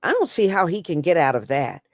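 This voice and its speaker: A woman's voice: sits low, big pitch swings, brisk, dry recording, studio quality. A woman with an American accent giving blunt, folksy, casual and opinionated commentary as a livestream host. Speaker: host of an online news commentary stream.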